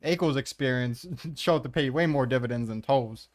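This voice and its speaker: A man's voice: medium pitch, moderate pace. A man giving casual, opinionated countdown commentary as host, blunt and exasperated, swearing freely as he rants. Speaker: host of a manga ranking commentary.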